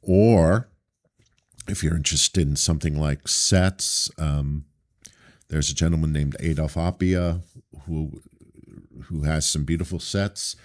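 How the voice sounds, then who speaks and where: A man from Brooklyn, a theater director being interviewed, with a deep voice and a thoughtful, storytelling manner over a video call. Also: fairly even intonation, takes it slowly, pauses often. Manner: thoughtful, anecdotal, hesitant starts. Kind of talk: casual interview.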